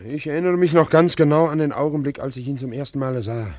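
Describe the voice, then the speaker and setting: A man's voice: a little high, fairly even intonation, fast talker, darkish tone, dry recording, close to an everyday voice. A man acting in a German radio play as a pensive, awed secretary, speaking reflectively and searching for words. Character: pensive, awed former secretary recalling his uncanny master.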